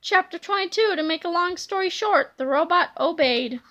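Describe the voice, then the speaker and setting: A woman narrating a children's book aloud in an expressive, dramatic way. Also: very high-pitched, normal speed.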